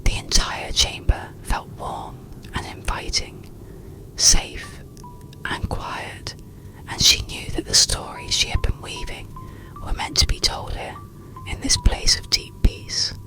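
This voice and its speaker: A narrator reading a bedtime story in a soothing, gentle, breathy ASMR whisper, with deliberate phrasing. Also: very high voice, wildly swooping pitch, music in the background, rough recording.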